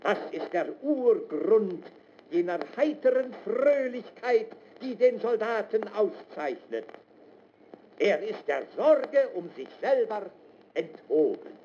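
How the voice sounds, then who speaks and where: Preachy fatherly colonel, high swooping voice, very slow, muffled radio play sound. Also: sing-song, warm tone, exaggerated voice. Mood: serene preaching. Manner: didactic, lofty, deliberate. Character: paternal male army lieutenant colonel, serenely preaching duty. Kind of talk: radio drama acting. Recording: muffled narrow band, faint noise.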